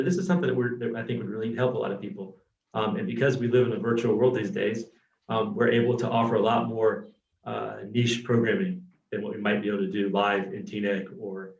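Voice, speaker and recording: Man hosting a webinar, low gravelly voice, distant. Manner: relaxed, with frequent ums and restarts. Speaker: webinar host from an organization's programming team. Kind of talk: introducing a webinar. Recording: roomy, distant, dull video-call audio.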